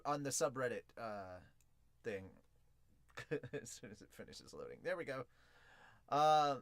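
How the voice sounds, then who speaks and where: A man hosting a podcast in an excitable, informal, slangy way, chatting casually as he reviews and reads out comments. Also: medium pitch, no room sound.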